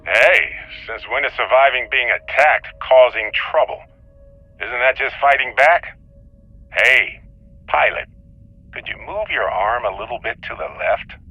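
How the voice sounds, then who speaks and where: A man's voice: medium pitch, lively intonation, a little slow, highs cut off. A man playing an unflappable, easygoing, joking space explorer in a video game, with a wry, rambling manner. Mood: wry defiance. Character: wisecracking, unflappable, easygoing middle-aged male space explorer. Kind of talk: video game dialogue acting.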